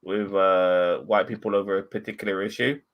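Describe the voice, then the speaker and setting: A man hosts a casual news podcast, chatting in an easygoing way. His voice is low, and he often stops and restarts his sentences. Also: highs cut off. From London. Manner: relaxed, halting, many restarts. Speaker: podcast host. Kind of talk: casual chat.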